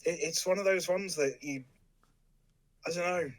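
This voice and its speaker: A young man with an English accent, a guest in a casual chat, relaxed with lots of ums and dry humor. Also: sits high, normal speed. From England. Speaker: podcast guest.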